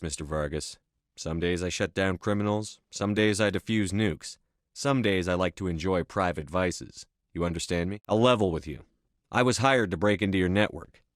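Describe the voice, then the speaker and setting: An actor playing a stern, authoritative military space commander in a video game, with clipped, controlled, matter-of-fact delivery. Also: male voice, sits low, dry recording, clean studio sound, natural voice. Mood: firm, challenging.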